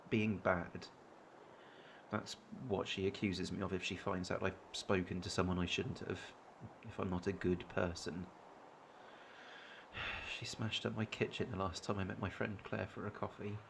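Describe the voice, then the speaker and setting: An actor playing an anxious abused man in a radio play, defensive and halting as he excuses his controlling partner. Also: on the low side, creaky, a bit bright, a little hiss or hum.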